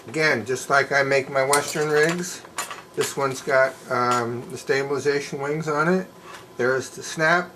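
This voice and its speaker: Slightly hoarse man explaining. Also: medium pitch, background noise. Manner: plain, folksy, unhurried explaining with fillers. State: slightly hoarse, losing his voice. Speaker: leatherworker showing his work. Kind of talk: casual show-and-tell demonstration. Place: indoors at a workbench.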